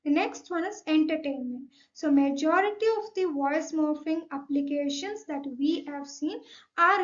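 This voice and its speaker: Young indian accent woman doing a methodical explainer presentation, pretty high voice, kinda muffled lofi mic. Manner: methodical, signposting each point. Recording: dull, lo-fi microphone sound.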